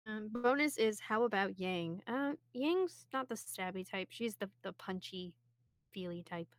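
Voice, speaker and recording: A woman's voice: mid-range voice, lively intonation, unhurried. Female, mid-range voice. Casual livestream co-host, conversational, takes questions from the audience. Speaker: co-host reading out chat questions. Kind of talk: casual group chat on a livestream.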